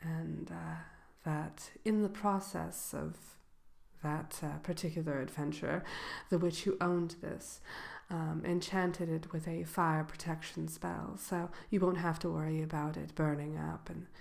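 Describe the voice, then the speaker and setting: A woman playing a polite, slyly malicious wicked witch selling brooms in a soft, hesitant, unhurried ASMR roleplay. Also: low voice, fairly even intonation, gravelly, full character voice. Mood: genteel, unhurried. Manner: hesitant, unhurried, genteel shopkeeper patter. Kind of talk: ASMR roleplay, soft-spoken and partly whispered.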